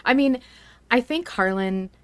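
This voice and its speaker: A woman hosting a casual fan podcast, chatty and reflective, quick to laugh. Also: on the high side, lively intonation, dry recording. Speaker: podcast host.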